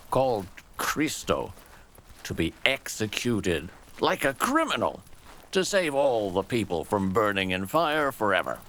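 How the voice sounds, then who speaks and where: A gruff old soldier, acted by a male storyteller, full of scorn and vehemence. He speaks slowly and low, leaning on key words with contempt, and his pitch swings widely as he makes his case. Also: fairly bright tone. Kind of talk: storybook character dialogue.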